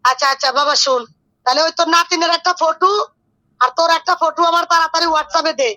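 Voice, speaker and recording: Woman, self-styled tantric healer on a call; high, bright, brisk and bossy. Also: steady tone. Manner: brisk and commanding, talks down to the caller. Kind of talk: phone consultation.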